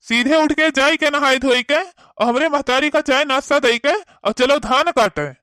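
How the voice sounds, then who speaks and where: A man's voice: very high-pitched, normal speed, bright, forceful tone, no room sound, studio quality. A man playing a bossy, impatient village husband in a cartoon comedy, nagging with an Awadhi accent. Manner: nagging, commanding.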